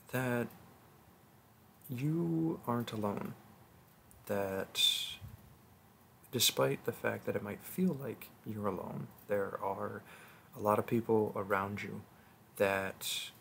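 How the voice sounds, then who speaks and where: A man speaking softly in halting phrases, addressing his listeners in a reflective outro as an audio roleplay performer. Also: fairly low pitch, creaky, a little hiss or hum.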